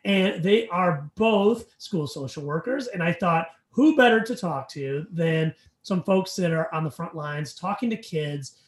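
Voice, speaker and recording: A man's voice: fairly high pitch, lively intonation, a little slow, hardly any pauses. Upbeat male podcast co-host, echoey room. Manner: upbeat, rambling with fillers. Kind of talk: casual podcast chat and sponsor read.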